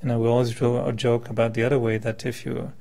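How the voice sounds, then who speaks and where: Male speaker, fifties, mid-pitched with heavy vocal fry, informal spiritual lecture, conversational and questioning. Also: flat delivery, fast, warm tone. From born in France, settled in Quebec. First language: French. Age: 50s. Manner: conversational, illustrates with hypothetical examples and questions. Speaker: meditation teacher, speaker. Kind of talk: informal spiritual talk to a live group.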